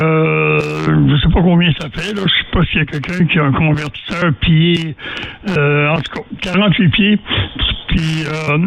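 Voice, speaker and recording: Higher-voiced Quebec man in casual radio chat. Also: background noise. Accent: Quebec French. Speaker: amateur radio operator.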